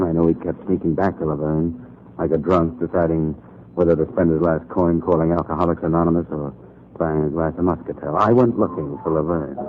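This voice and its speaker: An actor playing a hard-boiled, brooding newspaper columnist in 1940s radio noir, terse and sardonic, with an American accent. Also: male voice, low-pitched, lightly acted. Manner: terse, world-weary, sardonic. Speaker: narrator and lead actor. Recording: muffled, noisy 1940s radio recording.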